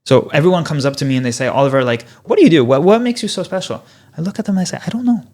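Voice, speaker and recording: A man in his thirties from Canada with a Canadian English accent, Polish as his first language, explaining his work as a guest in a casual interview, animated and fond of rhetorical questions. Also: fairly high pitch, racing. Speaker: podcast guest, physiotherapist.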